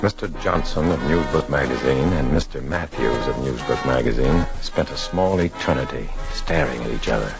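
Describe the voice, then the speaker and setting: Deep-voiced, terse undercover agent. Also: male voice, moderate pace, creaky, hardly any pauses. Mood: tense, brooding. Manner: terse, clipped, hardboiled delivery. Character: undercover agent posing as magazine reporter, guarded, urgent man. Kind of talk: 1950s radio drama, first-person narration and dialogue. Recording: muffled 1950s radio recording, music behind.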